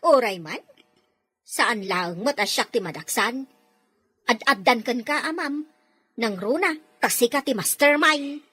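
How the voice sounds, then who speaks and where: A woman's voice: fairly high pitch, lively intonation, a little slow, long pauses, bright, forceful tone, breathy edge, full character voice. A Filipino woman playing an outspoken, bold housemaid in an audio drama, with pointed, emphatic delivery. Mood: defiant.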